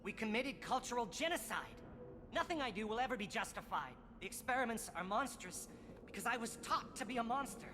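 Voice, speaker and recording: A man's voice: very high voice, animated, brisk, background noise. A man voicing a bitter, zealous alien scientist in a video game, articulate and accusatory, a disillusioned former student. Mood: bitter, defiant. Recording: game dialogue over background music.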